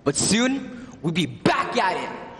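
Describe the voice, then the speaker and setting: In a big reverberant hall, a young man plays a tormented biblical fisherman wracked with guilt and grief. The voice is high and swings widely, with slow, theatrical phrasing in a stage monologue. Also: big pitch swings, heavily acted. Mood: wistful nostalgia. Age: teenager or young adult. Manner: theatrical, emotional, long pauses with swells into shouting. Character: guilt-ridden, grieving disciple of Jesus, a fisherman. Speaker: monologue performer in a talent competition. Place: large indoor hall, live on stage. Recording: echoey large hall, long reverb.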